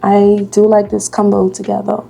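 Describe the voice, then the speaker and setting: A woman's voice: medium pitch, vocal fry, faint music behind. A Bahamian woman in her late twenties chatting casually as she does her makeup for a vlog. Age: 20s. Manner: slow, relaxed, chatty while doing makeup. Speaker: vlogger. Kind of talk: casual get-ready-with-me chat.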